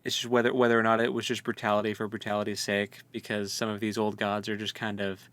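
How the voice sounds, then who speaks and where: A man's voice: on the low side, flat delivery, fast talker, fairly bright tone, no echo. A man co-hosting a casual book discussion, speculating in loose, colloquial language. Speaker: co-host of a book read-along podcast.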